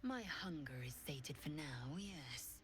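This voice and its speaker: An imperious alien warrior from a video game. Her voice is low and hushed, clipped in its phrasing, with expressive swings that sound proud and commanding. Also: slow, husky, exaggerated voice. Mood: smug, haughty. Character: haughty, commanding alien warrior woman lover.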